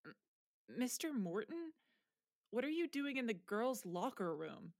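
A woman playing a sardonic teenage high school soccer player in an audio drama, dry and blunt. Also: sing-song, a little slow, no room sound. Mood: wary. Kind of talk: naturalistic audio drama acting.

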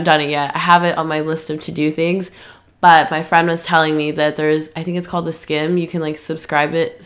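A young woman, slightly nasal and stuffy from allergies, talking through her plans on a solo podcast, rambling with lots of "um" and "like". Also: low-pitched. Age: 20s. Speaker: podcast host. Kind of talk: casual solo podcast chat.

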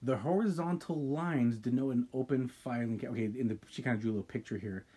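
A man co-hosting a casual podcast, reading a listener's ghost story aloud with dramatic pauses and stopping to react. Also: mid-range voice, mellow, breathy edge. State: sipping wine. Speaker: podcast co-host.

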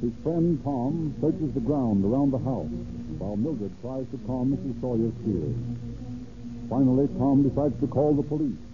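A man narrating a 1930s radio drama in a formal, deliberate storytelling style. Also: mid-range voice, close to an everyday voice. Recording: tinny, narrow-band old radio recording.

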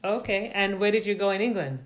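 A woman's voice: mid-range voice, a little fast. A woman hosting a podcast and interviewing a guest, often rephrasing her questions and using fillers. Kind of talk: casual interview.

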